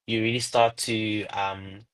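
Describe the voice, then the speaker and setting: A man in his twenties from Zimbabwe, a guest telling stories in a casual interview chat over a video call, with big lively pitch jumps. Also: slow. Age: 20s.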